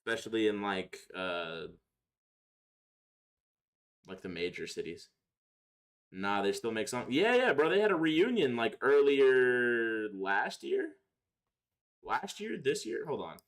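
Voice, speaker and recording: A man's voice: medium pitch, animated, takes it slowly, long pauses. A young man chatting casually on a livestream, answering viewers in slangy, profane, opinionated talk. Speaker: live streamer.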